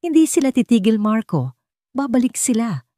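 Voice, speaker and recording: A woman with a Filipino accent voicing a shaken, protective young mother who speaks softly in an audiobook drama. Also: fairly high pitch, very animated, moderate pace, no echo, clean studio sound, voice pushed up. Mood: worried. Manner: soft, near-murmuring.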